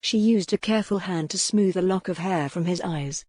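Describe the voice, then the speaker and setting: A woman's voice: on the low side, moderate pace, darkish tone, husky, dry recording. A woman reading scripted historical romance fiction as an audiobook narrator.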